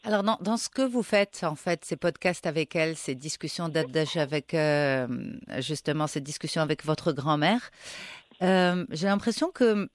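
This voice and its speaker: A man's voice: a little high, animated, moderate pace, no echo. A man interviewing a guest, asking questions hesitantly and searching for words.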